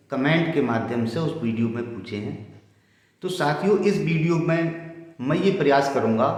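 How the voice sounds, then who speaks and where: A middle-aged man explains legal matters to viewers in a formal, deliberate way, repeating points for emphasis. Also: medium pitch. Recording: very echoey room with long reverb.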